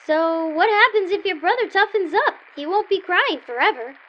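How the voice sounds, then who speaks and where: A woman's voice: extremely high pitch, animated, moderate pace, muffled recording. A woman playing a feisty, bossy, wisecracking girl in an old-time style radio comedy sketch. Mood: brash, scheming. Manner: brash, wisecracking, teasing.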